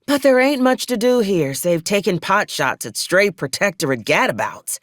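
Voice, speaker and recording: Woman acting a sardonic frontier officer, very animated. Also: medium pitch, normal speed, dry recording, natural voice. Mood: resigned, dry. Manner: folksy old-fashioned ornate phrasing, dry and haughty. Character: drawling, sardonic, bloodthirsty female frontier corporate officer. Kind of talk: video game character dialogue.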